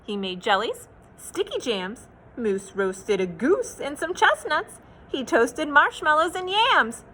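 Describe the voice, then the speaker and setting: A woman reading a children's picture book aloud in an expressive, playful, sing-song way, with asides to young listeners. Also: sits high, slow pace. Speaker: children's read-aloud storyteller.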